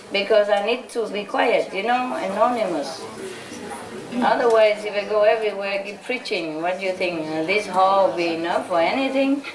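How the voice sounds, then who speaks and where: A woman from Vietnam, giving an informal talk to followers as a spiritual teacher, chatty and laughing often. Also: mid-range voice. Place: large hall with a live audience. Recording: echoey hall, distant mic, audience noise.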